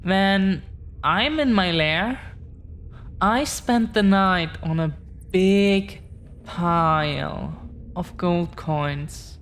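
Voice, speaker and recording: Woman playing a very slow-talking, teasing dragon girlfriend. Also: fairly low pitch. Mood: proud, bragging. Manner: slow, unhurried, teasing, with long pauses. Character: affectionate, proud dragon-blooded girlfriend bragging about her treasure. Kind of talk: intimate audio roleplay monologue. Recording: clear background noise.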